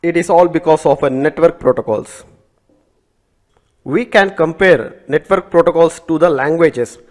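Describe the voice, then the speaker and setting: A man's voice: a little high, a little slow. A man whose first language is Telugu, teaching a recorded networking class in a methodical way, often rephrasing terms and correcting small slips as he goes. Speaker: instructor.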